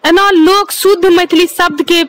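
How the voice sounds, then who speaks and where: A woman's voice: extremely high pitch, steady tone, moderate pace, no echo. A woman voicing a bossy senior police officer in a cartoon comedy, commanding and scolding, with a Maithili accent. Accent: Maithili, from Mithila. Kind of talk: amateur cartoon comedy dialogue.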